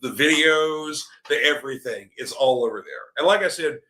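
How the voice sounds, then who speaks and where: Middle-aged male show host, rambling and opinionated, sharp bright tone, slightly scratchy throat. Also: medium pitch, expressive, moderate pace. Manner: rambling, opinionated, heavy on fillers. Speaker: wrestling podcast host. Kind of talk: live-streamed opinion commentary.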